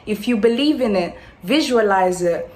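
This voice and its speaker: A woman's voice: medium pitch, expressive, normal speed, darkish tone. A nineteen-year-old woman from Angola, raised in Portugal, giving an earnest testimonial. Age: late teens. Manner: earnest, reflective. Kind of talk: testimonial video.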